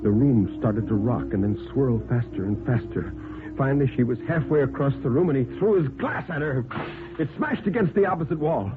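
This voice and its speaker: A man narrating a story as a 1950s radio storyteller, with theatrical, suspenseful, deliberate phrasing. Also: medium pitch, animated, over music. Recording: tinny band-limited vintage radio recording.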